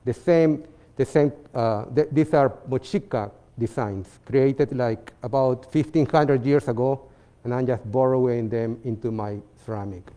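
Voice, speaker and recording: A man from Peru with a Peruvian Spanish accent, presenting his artwork in a seminar talk, halting with fillers and restarts. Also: mid-range voice, mellow. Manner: halting, many fillers and restarts, non-native phrasing. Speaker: visiting artist giving a seminar talk.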